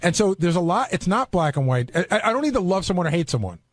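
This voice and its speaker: A man's voice: high voice, big pitch swings, fast talker. A man talking casually as a panelist on a radio show, candid and ready to argue his point.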